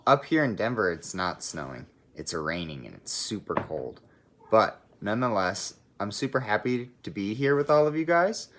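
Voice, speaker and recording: A teacher reading a storybook aloud to children, expressive and speaking directly to young listeners. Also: low-pitched, normal speed, slight background noise. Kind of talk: friendly storybook read-aloud.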